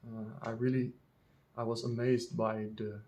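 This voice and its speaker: A Dutch man in his thirties, a native Dutch speaker talking in English, vlogging in a casual unscripted monologue full of ums, pauses and restarts. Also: mid-range voice, level tone, darkish tone. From Netherlands. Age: 30s. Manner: halting, many ums and restarts.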